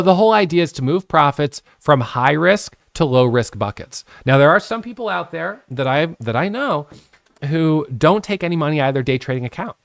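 A man in his late thirties giving a casual whiteboard lesson on trading from his home office, talking with his hands into a boom-arm studio mic. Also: no echo. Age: 30s. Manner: animated, talks with his hands, drawing on a whiteboard. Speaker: trading educator. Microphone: studio microphone on a boom arm.

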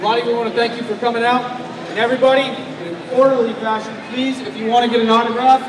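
Guy hosting a live demo, talks quick, far from the mic with crowd noise. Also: very high voice, flat delivery. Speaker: demo host. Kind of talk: live event announcing and questions. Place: large indoor expo hall. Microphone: distant handheld camera. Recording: echoey expo hall, distant, crowd noise.

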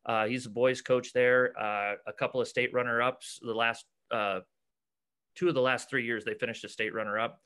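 A middle-aged American man, a basketball coach, explaining things casually and haltingly on a video call. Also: mid-range voice, level tone, sharp tone, clean tone. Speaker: basketball coach and clinic organizer. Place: cluttered room at home, on a video call.

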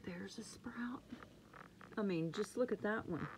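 A woman chatting excitedly about her seedlings, sometimes dropping to a murmur, recorded on a handheld phone indoors. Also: mid-range voice, measured pace, a little hiss or hum. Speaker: gardening vlogger. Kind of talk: casual home gardening vlog. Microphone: phone camera held close.

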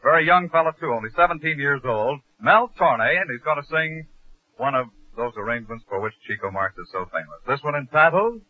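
Old time american radio host guy, lively pitch, comic banter, tinny old broadcast. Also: a little fast, slight background noise.

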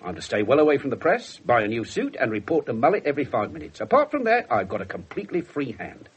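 An English actor playing a blunt, sardonic, wisecracking police inspector in a radio drama. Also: male voice, mid-range voice, wildly swooping pitch, fast, slightly rough, exaggerated voice. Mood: wry, wisecracking. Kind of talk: 1970s radio drama acting. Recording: noisy 1970s radio broadcast recording.

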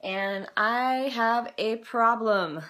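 A woman in her thirties, a little hoarse from a cold, chatting casually with lots of ums as she shows a home remedy in her kitchen. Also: a little high, very slow, fairly bright tone, a little hiss or hum. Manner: chatty, with many ums and uhs. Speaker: natural health blogger. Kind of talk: casual how-to vlog. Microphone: built-in camera mic, close and sometimes farther off.

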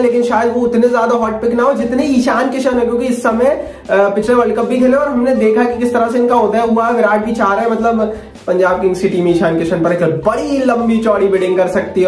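A man's voice: very high voice, a little mellow. A young man presenting a daily cricket news roundup in a chatty way, calling viewers friends and asking for their comments. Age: young adult. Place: small studio with a screen behind. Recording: roomy sound with music underneath.

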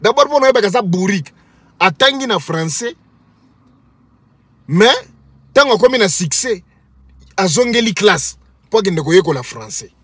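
A man's voice: high voice, sing-song, moderate pace, pauses often, a bit bright, husky, dry recording. A man, giving a scornful, insulting commentary from inside a parked car to his phone camera. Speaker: commentary vlogger. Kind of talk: mocking commentary vlog in Lingala and French. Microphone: phone camera at arm's length.

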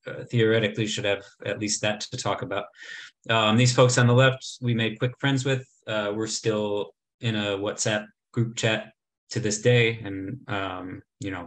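A man in his thirties giving a casual travel slideshow talk over a video call, chatty, with many ums and restarts. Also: on the low side. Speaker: travel presenter. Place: indoor room, remote talk. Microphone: webcam video call.

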